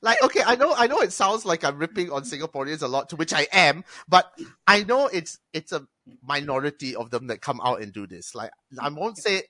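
A young man with a Malaysian English accent tells stories in a casual podcast chat. His voice is bright and sharp and swings widely in pitch, with a dry, close sound. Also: fairly quick, no room sound. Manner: animated, profane storyteller who mimics customers. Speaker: podcast guest, former bartender and film reviewer.

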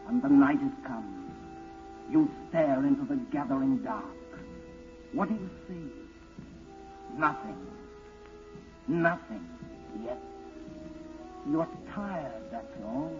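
A man acting an ominous inner-voice narrator in an old radio drama, brooding and deliberate. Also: mid-range voice. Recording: muffled old radio recording. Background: dramatic music.

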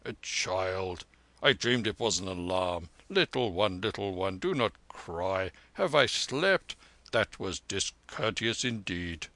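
A man voicing an old, gruff and hearty veteran soldier with blunt, archaic speech in a storybook reading. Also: deep voice, expressive, takes it slowly, a bit bright, no room sound, voice pushed down, exaggerated voice. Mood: soothing.

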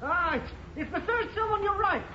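A cheeky small-time crook, really high swoopy voice, chirpy and slangy, old muffled noisy radio show. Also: male voice, animated, normal speed. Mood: eager, pleading. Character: eager, cheeky small-time crook and informer, tagging along. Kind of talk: 1950s radio drama acting.

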